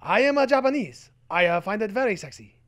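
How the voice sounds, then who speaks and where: A man's voice: high-pitched, expressive, a little fast, a bit bright. A man voicing a pompous pretend ninja with a stilted, put-on foreign manner. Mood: mock proud. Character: boastful pretend male ninja with a fake accent.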